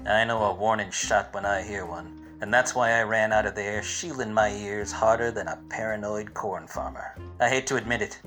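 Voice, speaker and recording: An actor playing a wise-cracking but obtuse hard-boiled private detective in a parody of 1940s radio drama, with wry, self-important delivery. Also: male voice, fairly low pitch, fairly bright tone, lightly acted.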